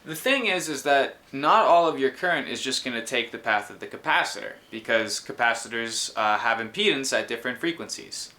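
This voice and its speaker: Bright-voiced young man explaining electronics. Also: medium pitch, lively intonation, brisk, few pauses. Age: 20s. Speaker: host explaining his electronics project. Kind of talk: informal technical explanation to camera and in voice-over. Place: small room indoors.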